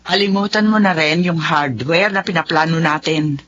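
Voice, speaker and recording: A woman's voice: deep voice, moderate pace, a bit bright, a little hiss or hum. Firm, weary mother in muffled audio. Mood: firm, reproachful. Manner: earnest, firm, reproachful. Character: weary, firm, long-suffering wife and mother of grown children. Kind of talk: dramatic Filipino radio drama acting. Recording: dull, lo-fi radio drama audio.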